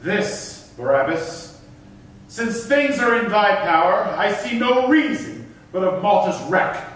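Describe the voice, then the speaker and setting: Man acting a slow, proud captive governor, echoing. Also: high voice, animated, a bit bright, slight background noise, full character voice. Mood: defiant. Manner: solemn, declamatory. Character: defeated, bitter captive governor, proud and defiant. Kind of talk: theatrical staged reading of Elizabethan verse. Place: theatre stage, indoors. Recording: echoey stage hall from far off.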